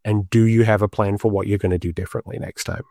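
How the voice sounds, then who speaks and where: A man's voice: fairly low pitch, little pitch movement, quick pace, darkish tone, no echo, clean studio sound. A man with a Liverpool accent, a planner and improviser, talking animatedly and self-deprecatingly in an interview. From Liverpool, England. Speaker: guest, urban planner and improv comedian. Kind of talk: conversational podcast interview.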